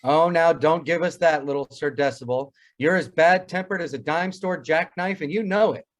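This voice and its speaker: A man's voice: on the high side, normal speed, close to an everyday voice. A man playing a wry, pompous family doctor in old-time radio comedy, wordy and drily sarcastic. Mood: scornful.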